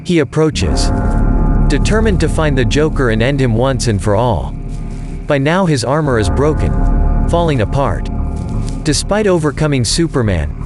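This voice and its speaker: A man's voice: mid-range voice, sing-song, moderate pace. A man narrating an audio drama in a dramatic, scripted storytelling style. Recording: voice under loud dramatic music.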